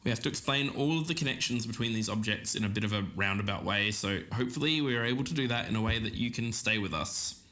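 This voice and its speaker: A man playing a low-voiced podcast investigator. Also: fast talker, few pauses, pushed and bright. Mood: earnest, intrigued. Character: earnest male podcast investigator of a lost TV show. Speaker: podcast host. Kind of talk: scripted narration. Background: music.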